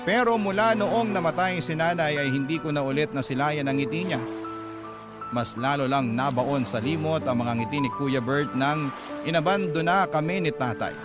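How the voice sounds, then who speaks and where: A man's voice: a little high, moderate pace. A man with a Filipino accent, narrating a scripted first-person story as a radio drama host. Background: clear music bed.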